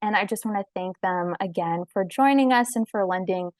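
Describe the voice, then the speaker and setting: A woman's voice: medium pitch, normal speed, no room sound, clean studio sound. A young woman moderating a student panel over a video call, explaining things in an organized way. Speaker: panel host. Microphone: video call microphone.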